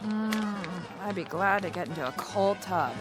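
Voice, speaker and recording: A woman's voice: medium pitch, measured pace, a crowd in the background, lightly acted. An actress in a radio drama playing a flippant, flirty, party-loving wife who talks in period slang, with music behind her. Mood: teasing scornful.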